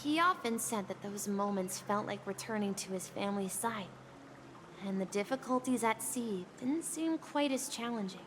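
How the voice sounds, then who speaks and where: A woman voicing a gentle, wistful young boy in a video game, earnest and a little hesitant. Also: medium pitch, expressive, moderate pace, background noise, natural voice. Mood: wistful, nostalgic. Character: gentle, wistful young boy recalling his seafaring grandpa. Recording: game music behind the voice.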